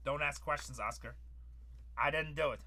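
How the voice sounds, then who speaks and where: A man's voice: a little high, steady tone, normal speed, pushed and bright. A man in his forties hosting a live card-break stream, calling out cards and bantering with chat. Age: 40s.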